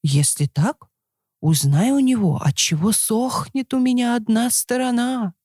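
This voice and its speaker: A woman voicing a slow, grumbling oak tree in a storybook tale. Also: fairly high pitch, mellow, husky, no echo, clean studio sound, pitched above the performer's own voice. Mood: slow, calling.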